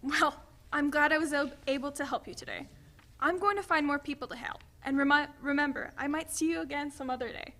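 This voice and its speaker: A woman plays a helpful guiding spirit in a stage play reading. The voice is high and bright, talking at a quick clip. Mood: encouraging. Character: brisk, helpful female spirit who guides people. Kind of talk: dramatic reading of a student play.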